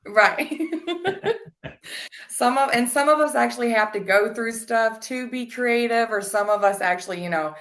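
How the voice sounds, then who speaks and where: A woman hosting an interview podcast, chatty and animated, saying "like" a lot and laughing often. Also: a little high, measured pace. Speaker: podcast host. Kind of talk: casual interview chat.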